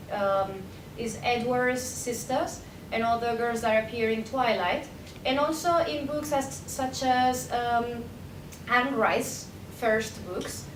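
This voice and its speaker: Young woman, high voice, informal academic answering questions, noisy background. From Spain. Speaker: academic presenter, PhD candidate. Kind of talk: conference question-and-answer discussion. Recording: roomy, distant mic, noisy rumbling background.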